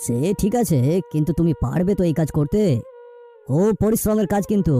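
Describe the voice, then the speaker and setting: Cartoon village headman, performed by a woman: commanding, brusque, extremely mellow dark timbre. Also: lowish voice, lively intonation, fairly quick, faint music behind, voice pushed down, natural voice. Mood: bossy, brusque. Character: bossy male village headman handing out farm work. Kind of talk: cartoon story acting.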